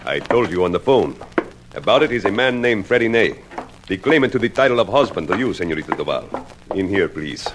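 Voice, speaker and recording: An actor playing a weary, formal police inspector with a Spanish accent and florid phrasing in a 1950s radio drama. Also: male voice, low voice, big pitch swings, brisk. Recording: noisy 1950s radio recording.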